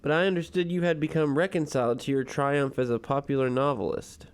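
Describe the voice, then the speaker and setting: A man acting an urbane, devoted, gently pedantic husband with a wordy, courtly way of talking in a radio comedy. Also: mid-range voice, fairly even intonation, fairly quick, smooth, close to an everyday voice. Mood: genial.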